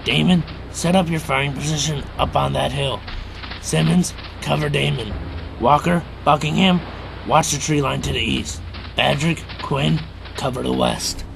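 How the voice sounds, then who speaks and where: A man's voice: on the high side, unhurried, creaky, noisy background. A man playing a stern, commanding American army captain in an amateur World War II radio drama, speaking in dry, clipped orders.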